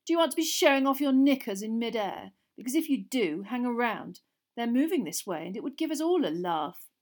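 A woman's voice: on the high side, animated, fairly quick, lightly acted. A woman reading aloud and voicing a sneering teenage schoolboy wizard with a lazy drawl.

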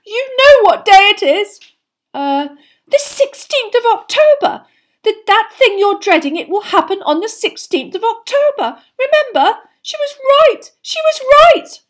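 A woman's voice: extremely high pitch, big pitch swings, a little slow, pitched above the performer's own voice, exaggerated voice. A woman voicing a tearful, melodramatic teenage schoolgirl in an audiobook, with a wailing, tragic delivery. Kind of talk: audiobook character voice.

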